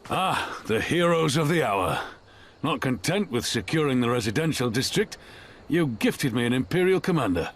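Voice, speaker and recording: A man's voice: mid-range voice, sing-song, normal speed, a little hiss or hum, lightly acted. A man playing a sardonic military general with a dry, wry manner in a video game cutscene. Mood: wry teasing.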